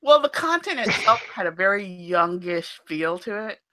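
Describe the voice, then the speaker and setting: A woman with a bright, sharp-edged tone and a somewhat nasal quality, talking in a lively, animated way in casual chat. She sits at an ordinary middle pitch. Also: moderate pace. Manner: animated, laughs often. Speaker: podcast co-host. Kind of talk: casual chat about audiobooks.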